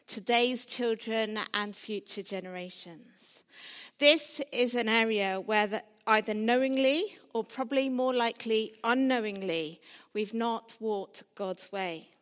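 A woman giving a sermon in a measured, earnest way, as a preacher addressing a church. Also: a little high, a bit bright. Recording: reverberant hall sound.

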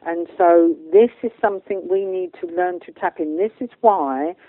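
A woman's voice: lowish voice, normal speed, no echo. A British woman talking as a guest medium in a radio interview, stating things bluntly and with emphasis. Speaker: guest, spiritual medium and channeller. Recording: muffled phone-like line.

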